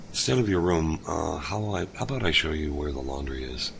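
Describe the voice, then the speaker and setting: A lonely old dad, super low gravelly voice, kinda halting and soft. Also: male voice, lively intonation, a little hiss or hum, heavily acted. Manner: gentle, halting, hesitant. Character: older lonely widowed father, kind host. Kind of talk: audio drama acting.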